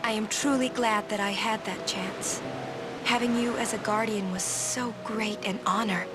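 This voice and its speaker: An actress playing a gentle, resolute young summoner woman in a video game, speaking in measured, earnest, halting phrases. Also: medium pitch, steady tone, natural voice. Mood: earnest, grateful. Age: young adult. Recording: game dialogue under music.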